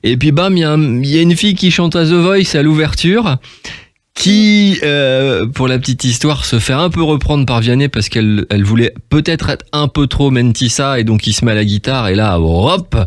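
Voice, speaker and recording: A man's voice: mid-range voice, expressive, moderate pace, no room sound, clean studio sound. A man hosting a radio interview in a casual, chatty way, rambling enthusiastically from one aside to the next. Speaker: radio host interviewing a guest. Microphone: close studio radio microphone.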